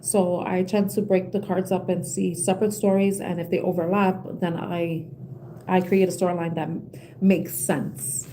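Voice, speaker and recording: A young woman giving a collective tarot reading with a soothing voice, talking gently and rambling with many fillers and restarts. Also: lowish voice, little pitch movement, moderate pace, a little hiss or hum, rough recording. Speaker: tarot reader.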